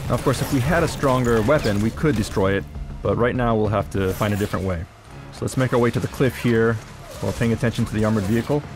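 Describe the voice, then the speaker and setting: Low-voiced man explaining gameplay over loud music. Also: fast talker. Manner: explanatory, instructional. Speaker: game demo presenter. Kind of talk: live gameplay demo commentary.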